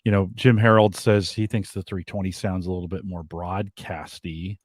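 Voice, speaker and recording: Deep-voiced co-host, hesitant. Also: male voice, fairly even intonation, moderate pace, no echo, studio quality. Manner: hesitant, filler-heavy, reads out comments. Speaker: podcast co-host. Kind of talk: casual tech chat.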